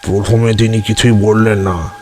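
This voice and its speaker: A man playing an old tantric who recounts eerie memories in a deliberate, measured way for an audio horror drama. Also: on the low side, little pitch movement, faint music behind, close to an everyday voice. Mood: measured, reminiscent.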